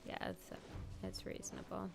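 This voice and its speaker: A woman giving casual live commentary as she streams a game. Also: moderate pace. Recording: faint game music behind.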